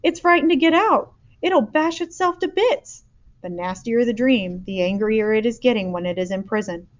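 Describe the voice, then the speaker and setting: A woman's voice: fairly high pitch, expressive, normal speed, natural voice. A woman voicing a big, friendly old male giant who mangles his grammar and invents words, in a dull lo-fi recording. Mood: explaining.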